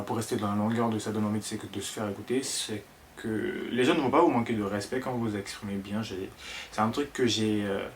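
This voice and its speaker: Ivorian guy in his 20s, lowish voice, chatty vlog, lots of euhs, faint room hum behind. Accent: Ivorian French. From Ivory Coast. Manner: hesitant, many fillers and restarts, earnest. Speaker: vlogger. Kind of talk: casual talk to camera.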